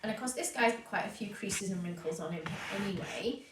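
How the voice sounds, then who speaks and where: A woman's voice: mid-range voice, fairly quick. A woman with a sniffly, hay-fever nose, chatting casually as she explains her steps in a live home tutorial. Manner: chatty, explains as she works, many fillers. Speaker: cake decorator teaching a live stream. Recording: roomy, distant, faint noise.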